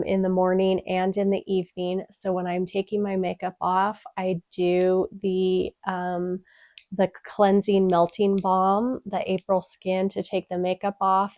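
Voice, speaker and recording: A woman's voice: mid-range voice, no echo. A young woman vlogger with a stuffed-up, nasal voice from a cold, chatting casually through a product review with many "um"s. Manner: chatty, frequent "um" fillers, soft-spoken. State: congested, stuffed-up voice. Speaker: beauty vlogger.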